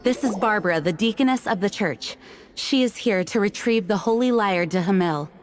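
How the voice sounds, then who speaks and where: A measured, dutiful female commander from a video game played by a woman. Her medium-pitched voice is formal and articulate, with soft music underneath. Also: lightly acted. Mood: composed. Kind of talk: video game character acting.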